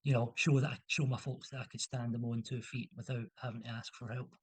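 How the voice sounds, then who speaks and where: A man's voice: mid-range voice, level tone, quick pace, breathy edge, dry recording. A young man from Scotland, a guest in a casual interview, talking in a rambling way with many restarts and fillers.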